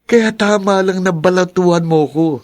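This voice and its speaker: An actor playing a greedy, flattering young adult son in a radio drama, wheedling and smug. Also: male voice, high voice, moderate pace, no echo, close to an everyday voice.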